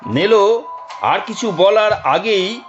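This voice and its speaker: A man narrating a dramatic first-person audio story in a deliberate, suspenseful storytelling manner. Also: high voice, animated. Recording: muffled studio narration over music.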